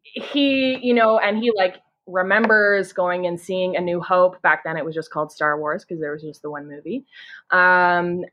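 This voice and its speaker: Sex: woman. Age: 30s. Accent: Canadian. Pitch: lowish. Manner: eager, chatty podcast guest. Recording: dull, lo-fi remote audio. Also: steady tone, moderate pace. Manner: eager, chatty, frequent "you know" and "like". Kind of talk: casual conversation.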